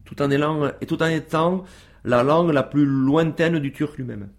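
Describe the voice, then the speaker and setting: A man's voice: on the high side, moderate pace. A man as a guest on a radio show, talking casually with many fillers and restarts.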